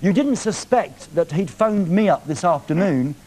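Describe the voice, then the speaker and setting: A man's voice: high-pitched, lively intonation, normal speed, darkish tone, slight background noise. A man hosting a live TV show, teasing a caller on the phone with cheeky questions. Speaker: TV presenter. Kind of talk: live TV prank phone call. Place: TV studio.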